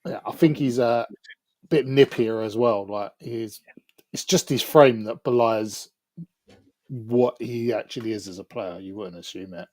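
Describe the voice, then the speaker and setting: A man's voice: on the low side, a little slow, darkish tone, no echo. A man on a football podcast panel, bantering in a teasing, matter-of-fact way. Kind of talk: casual banter.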